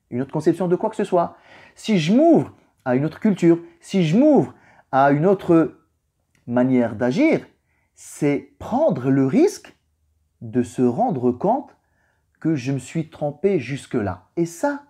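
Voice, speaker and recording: A man's voice: a little high, warm tone, slightly rough. A young man, a native Arabic speaker, giving an informal motivational religious reflection in an emphatic way, stressing points with repetition. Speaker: vlogger giving a personal reflection.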